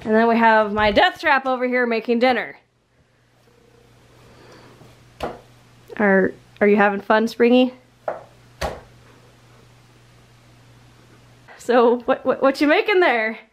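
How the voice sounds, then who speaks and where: A woman's voice: a little high, moderate pace, lo-fi sound, close to an everyday voice. A young woman playing an exasperated, foul-mouthed male killer in an improvised cosplay skit, indignant and sarcastic. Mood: dry, sarcastic. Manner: indignant, sarcastic, heavy swearing. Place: home kitchen and dining room. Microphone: handheld camera.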